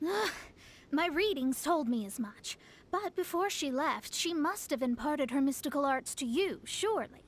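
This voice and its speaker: A woman's voice: high-pitched, expressive, normal speed. A woman voicing a haughty young astrologer in a video game, with pompous, formal wording. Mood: earnest.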